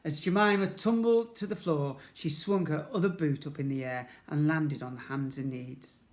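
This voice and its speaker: A man's voice: on the high side, moderate pace. A man reading a storybook aloud expressively to young children, as a schoolteacher would.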